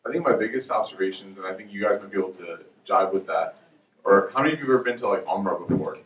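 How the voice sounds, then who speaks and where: A man's voice: fairly low pitch, quick pace, fairly bright tone, roomy sound, recorded from a distance, highs cut off, a little hiss or hum. A man from Chicago, a guest speaker talking casually and joking with a student audience, easygoing with many fillers.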